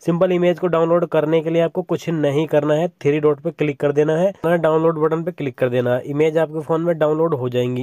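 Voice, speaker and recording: Young man with a mellow voice, step-by-step tutorial. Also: fairly high pitch, no room sound, studio quality. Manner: steady step-by-step instructions, repeats "simple" often. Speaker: tutorial channel host. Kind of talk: screen-recorded phone tutorial voice-over.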